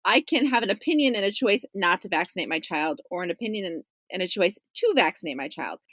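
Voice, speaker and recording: Female journalist guest, medium-pitched voice, dry recording, casual conversational answers. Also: lively intonation, fast talker, fairly bright tone, no room sound. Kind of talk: casual interview chat.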